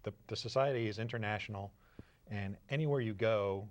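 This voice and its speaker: A man with a somewhat low voice talks about barbershop singing. His manner is friendly and unhurried. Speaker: barbershop singer. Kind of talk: casual interview answers.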